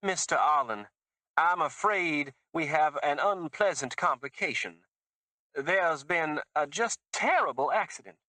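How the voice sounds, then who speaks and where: A man's voice: a little high, animated, a little slow, bright, forceful tone, no room sound, voice pushed up, rough recording. A man voicing a gushing, insincere female politician with a put-on Southern drawl. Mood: falsely reassuring. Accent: fake Southern US. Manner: sugary, insincere, cooing.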